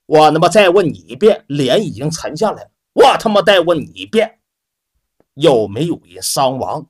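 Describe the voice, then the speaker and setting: A man's voice: fairly high pitch, big pitch swings, moderate pace, breathy edge. A man voicing a stern young male heir who demands answers and curses. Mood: menacing.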